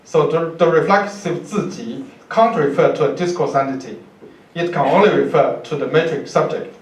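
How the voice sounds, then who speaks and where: A man with a Chinese accent giving an academic lecture from notes in a halting, deliberate manner. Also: a little high. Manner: halting, deliberate, with repeated words. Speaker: university professor giving a lecture. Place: indoor university lecture room, speaker at a podium. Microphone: far camera microphone. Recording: roomy, distant lecture hall pickup.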